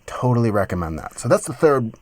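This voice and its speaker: A man in his thirties giving a casual, enthusiastic music software tutorial in a conversational way, close on a mic in a home studio. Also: medium pitch, sing-song, a little fast, dry recording. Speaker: music production tutorial host. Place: small home studio, indoors.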